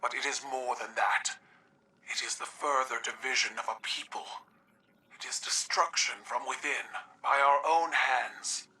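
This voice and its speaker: A brooding alien warrior chief in a game cutscene, measured and heavy with regret. His voice has a hard, tense edge and sits back from the mic. He speaks slowly, weighing each word. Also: mid-range voice, fairly even intonation, bright, forceful tone, recorded from a distance, low-quality recording. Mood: solemn. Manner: solemn, slow, measured. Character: brooding alien warrior leader, grave, proud. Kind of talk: video game cutscene acting.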